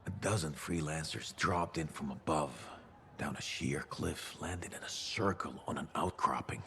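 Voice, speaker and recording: A low, weighty male voice an older veteran fighter in a video game. He brags and spins tales with relish, over a bed of background ambience. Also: moderate pace, a bit bright, slight background noise. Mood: proud, boastful. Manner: boastful, storytelling with relish. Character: boastful gruff old veteran freelancer man. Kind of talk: video game cutscene acting.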